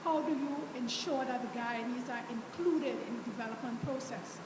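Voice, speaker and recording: Caribbean woman, audience question, fairly high voice, echoey hall, noise behind. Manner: polite, measured, thoughtful. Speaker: audience member asking a question. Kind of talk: question from the floor.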